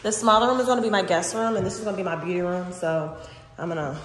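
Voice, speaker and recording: A woman's voice: fairly low pitch, steady tone, fast talker. A woman chatting casually and informally to viewers and a companion as she films a house tour on a handheld phone. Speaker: vlogger giving a house tour. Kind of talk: casual vlog chat while walking. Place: empty house rooms, indoors. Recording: very echoey empty rooms, noisy phone audio.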